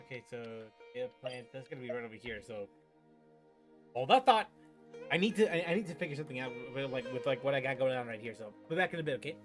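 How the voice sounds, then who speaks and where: A man's voice: mid-range voice, animated, fast talker, faint music behind. A young man giving casual, slangy, reactive commentary as he streams a game playthrough. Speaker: gaming streamer.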